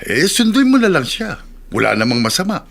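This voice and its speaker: A man's voice: sits high, a little fast, vocal fry, heavily acted. An actor playing a teasing, worldly older male confidant with a coaxing manner in a Tagalog radio drama. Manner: coaxing, animated.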